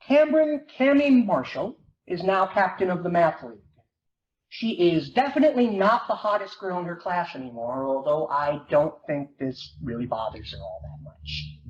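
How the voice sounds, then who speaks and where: A performer reading as an awkward teenage boy who narrates his comic confession in a wry, self-deprecating way. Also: mid-range voice. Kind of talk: dramatic reading of a first-person novel. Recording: muffled, noisy, echoey room recording.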